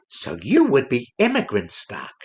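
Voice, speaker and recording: A man's voice: medium pitch, huge pitch swings, a little slow. A man playing a disgruntled ventriloquist dummy, a sardonic showbiz veteran who gripes and wisecracks in a comic audio play. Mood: wry. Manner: griping, sardonic, emphatic.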